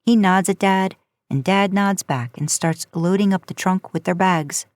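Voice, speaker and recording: A woman's voice: mid-range voice, a little slow, darkish tone, no echo. A woman reading a story aloud in an informal, conversational audiobook style. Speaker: podcast host narrating fan fiction.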